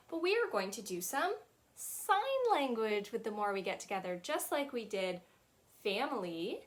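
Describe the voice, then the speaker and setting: A woman's voice: on the high side, fairly bright tone. A woman in her thirties leading a children's storytime in a small room, talking in a sing-song, teacherly way and singing simple songs with hand gestures. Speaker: children's librarian. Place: small playroom with shelves, indoors.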